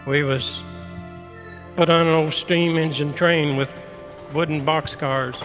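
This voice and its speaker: Elderly rural American man, flat delivery. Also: a little high, rough recording. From rural United States. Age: 80s. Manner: halting, grave storytelling. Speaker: war veteran. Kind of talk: personal reminiscence. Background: music.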